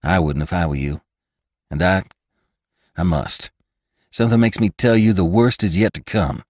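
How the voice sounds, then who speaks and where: A man's voice: deep voice, normal speed, dry recording, studio quality, natural voice. A man voicing a gaunt, earnest ranch rider who speaks in an urgent, emphatic way.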